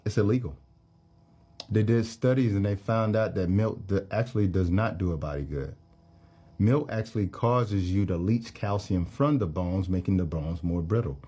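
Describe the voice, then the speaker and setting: A man's voice: sits low, normal speed, mellow, husky. A man speaking as a health author in an interview, explaining and checking that the listener follows. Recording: muffled clip played back.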